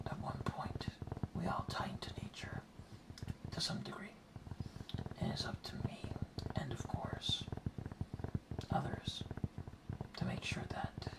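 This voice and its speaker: Man as slow whispering frog guardian, ASMR. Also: on the high side, recorded from a distance, heavily acted, low-quality recording. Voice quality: breathy whisper. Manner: slow, hushed, deliberate, repeating words. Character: earnest, welcoming dart frog guardian of the rainforest. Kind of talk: ASMR roleplay.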